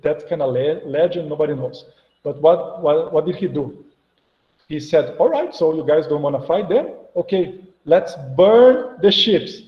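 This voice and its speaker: A man with a Brazilian Portuguese accent, a native Portuguese speaker, giving an animated online university lecture and acting out imagined inner voices. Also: a little high. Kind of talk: online academic talk. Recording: echoey room, dull lo-fi sound.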